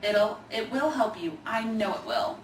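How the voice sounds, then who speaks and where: A woman voicing an earnest, caring young girl in an expressive children's storybook reading. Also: on the high side, measured pace, natural voice. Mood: reassuring. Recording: roomy, distant mic, faint noise.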